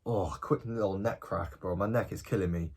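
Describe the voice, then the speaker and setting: A man's voice: low voice, moderate pace, slightly rough. An eighteen-year-old man, talking casually and informally to camera in a self-improvement vlog. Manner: animated, informal, often tagging lines with "bro" and "okay?".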